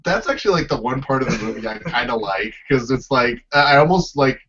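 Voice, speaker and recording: A man's voice: medium pitch, lively intonation, fairly quick, a bit bright, slight background noise. A man chatting casually as a guest on a podcast. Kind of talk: casual chat. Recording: muffled lo-fi audio, distant from mic.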